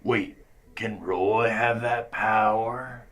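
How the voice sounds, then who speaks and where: A man's voice: fairly low pitch, steady tone, very slow, fairly bright tone. A man playing a cocky, clueless space refugee in a comic radio play, simple, self-important and blurting. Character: cocky, clueless space refugee who talks in third person. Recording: noisy radio-play track with clicks.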